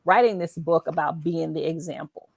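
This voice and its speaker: Animated, encouraging woman host with creaky voice. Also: sits low, normal speed, no echo. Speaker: show host. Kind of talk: lively conversational interview.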